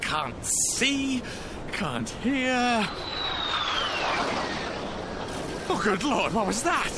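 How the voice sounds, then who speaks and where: An actor playing a panicked British World War One pilot in a radio drama, exclaiming urgently to himself. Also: male voice, very high-pitched, big pitch swings, takes it slowly, heavy background noise, heavily acted. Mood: shaken. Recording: loud music over the voice.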